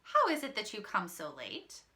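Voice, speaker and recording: A woman's voice: medium pitch, wildly swooping pitch, normal speed, sharp tone. A woman voicing a clever, wary eldest girl in a storybook folktale, full of questions. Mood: questioning.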